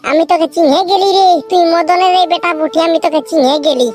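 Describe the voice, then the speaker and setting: A man voicing a brash, bossy young village man in a comic cartoon, with a Purulia Bengali accent and an artificially pitched-up, chipmunk-like voice, blustering and repeating his words. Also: fairly quick. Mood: teasing, boastful.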